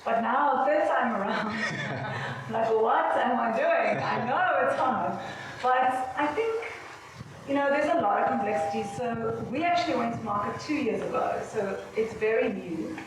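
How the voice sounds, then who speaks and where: A woman speaking frankly as a founder on a conference panel, with a loud voice. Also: fairly high pitch, animated, unhurried, noisy background. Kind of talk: candid panel answer. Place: conference venue. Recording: echoey event room.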